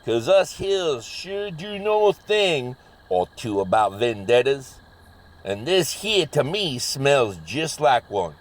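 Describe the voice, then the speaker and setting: A man acting expressive, exasperated everyday characters in a scripted comedy audio drama. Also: mid-range voice, slow. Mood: folksy concern. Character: excitable, exasperated men: hillbilly and pizzeria owner brother.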